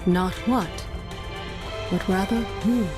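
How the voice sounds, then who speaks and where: A woman's voice: medium pitch, slow, music in the background, natural voice. An actress playing a commanding queen in a video game, formal and authoritative. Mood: grave. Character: regal, commanding queen of a hidden people.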